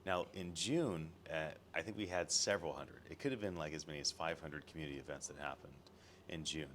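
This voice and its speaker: An American man hosting a relaxed interview, rambling and chatty with lots of fillers. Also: extremely low pitch, a little fast, slight background noise. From United States. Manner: rambling, chatty, laughs often, trails off with fillers. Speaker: host. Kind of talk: casual interview. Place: room at an event in London.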